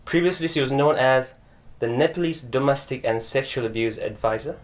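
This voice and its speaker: A man's voice: mid-range voice, moderate pace. A man hosting a podcast, reading a formal introduction and then chatting with his guest, with Nepali phrases mixed in. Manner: friendly, mixes in Nepali phrases. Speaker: podcast host. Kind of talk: scripted intro then casual interview.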